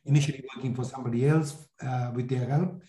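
A man in his sixties with a Sri Lankan accent, telling his life story in an interview in a halting, reflective way. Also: mid-range voice, fairly even intonation, roomy sound, a crowd in the background. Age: 60s. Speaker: podcast guest, entrepreneur.

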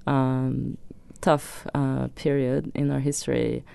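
A young woman from Brazil, Portuguese her first language, talks as a guest in a relaxed interview. Her voice sits low, with a gravelly edge yet a smooth, resonant core. She hesitates often, filling gaps with "um" and starting over. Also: takes it slowly, no room sound. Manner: hesitant, many fillers and restarts. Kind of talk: casual interview chat.

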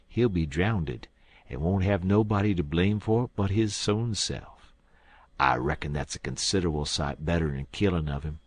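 A man puts on a scheming gang boss for an audiobook. He is low and raspy, recorded dry. He reasons coolly and deliberately in folksy country speech. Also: no room sound. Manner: deliberate, persuasive rustic talk. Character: cool-headed scheming male gang leader Packard, smugly reasonable. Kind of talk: audiobook character acting.

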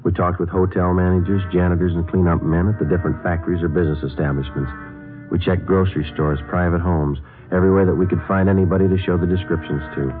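Fast, low-voiced deadpan American police detective, played by a man. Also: natural voice. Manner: deadpan, matter-of-fact, polite. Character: terse, businesslike male police detective narrating his case. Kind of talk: 1950s radio police drama, clipped procedural narration. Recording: narrow, lo-fi vintage radio, music behind.

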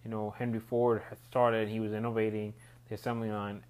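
Man, rambling, low-pitched. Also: little pitch movement, a little fast, darkish tone, clean tone. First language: Spanish. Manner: rambling, restarts phrases often. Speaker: podcast host. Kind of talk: solo reflective monologue.

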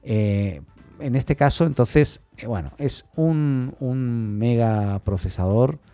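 A man hosting a tech news podcast alone, talking informally and haltingly as he thinks aloud, often adding little questioning tags. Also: mid-range voice, mellow, no room sound, faint music behind.

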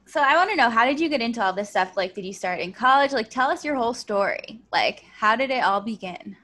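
A woman in her late twenties co-hosting a podcast, her voice a bit high and very bright. She is giggly and eager, jumping in with questions and exclamations. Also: expressive, fast, a little hiss or hum. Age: 20s. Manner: giggly, enthusiastic. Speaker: podcast co-host. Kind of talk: casual chat.

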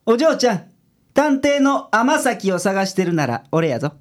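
A woman's voice: medium pitch, big pitch swings, unhurried. A woman playing a blunt, self-assured male detective with a Kansai accent in a radio drama. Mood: brusque. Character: gruff, cocky, unflappable male private detective.

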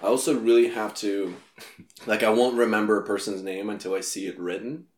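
A young man hosting a podcast, telling stories in a joking, animated way. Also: fairly low pitch, brisk. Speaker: podcast host. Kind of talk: casual chat. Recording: echoey room.

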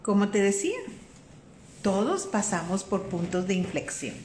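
A Mexican woman hosting a faith-based live stream. She talks in a warm, conversational way, greets viewers by name and often checks in with "¿verdad?". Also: medium pitch, big pitch swings, normal speed. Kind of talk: casual live-stream talk on faith and life. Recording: roomy echo with faint room noise.

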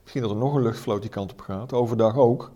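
A man's voice: medium pitch, fast, dark tone. A man explaining things conversationally as an expert interviewee, with emphatic repetition and colloquial asides. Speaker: military historian, expert interviewee.

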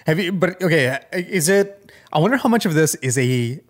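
A man chatting casually as a podcast co-host, in a halting way that circles around his point. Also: a little high, lively intonation. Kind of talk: casual chat.

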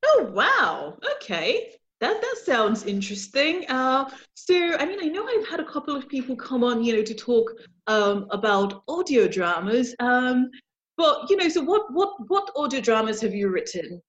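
A woman's voice: on the high side, very animated, moderate pace, fairly bright tone, lo-fi sound. A woman hosting a podcast, interviewing in a casual chatty style. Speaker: podcast host.

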